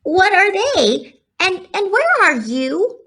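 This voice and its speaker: A woman voicing an earnest, polite, excitable young male pig in a children's storybook. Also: very high voice, takes it slowly, voice pushed up, exaggerated voice. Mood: startled, excited. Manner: formal, pleading, eager. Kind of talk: children's storybook read-aloud.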